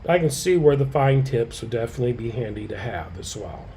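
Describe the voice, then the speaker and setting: A man teaching a live quilting and fabric-painting demonstration, slightly hoarse, chatting with viewers by name and muttering softly to himself as he works. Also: medium pitch, a little fast, a little hiss or hum. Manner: relaxed, talks softly to himself while he works; answers viewers' comments by name. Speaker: quilting educator.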